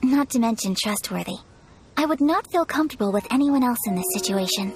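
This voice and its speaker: A courteous young noblewoman from a video game. The voice is high and the phrasing formal and measured, earnest yet reserved, with music underneath. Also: lively intonation. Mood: earnest, confiding. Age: young adult. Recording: background music.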